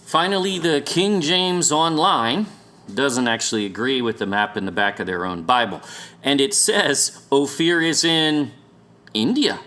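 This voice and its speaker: A man's voice: mid-range voice, expressive, measured pace, pushed and bright. A man presenting an explainer in an argumentative, sarcastic and emphatic conversational manner. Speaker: presenter of a documentary series. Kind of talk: argumentative explainer narration over maps.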